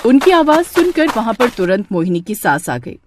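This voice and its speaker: A woman's voice: on the high side, very animated, moderate pace, slight background noise. A woman narrating a scripted moral tale as a storyteller.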